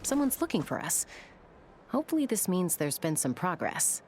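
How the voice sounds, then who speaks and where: A woman playing a caring, thoughtful young woman in a video game, steady and reassuring. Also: lowish voice, moderate pace, noisy background.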